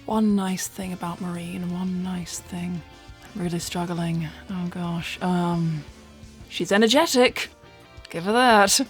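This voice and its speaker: A woman's voice: on the low side, fairly even intonation, slow pace, faint music behind. A woman voicing a sly, flirtatious, confident female bat thief in a fan cartoon dub, with a teasing, casual drawl. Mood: grudging.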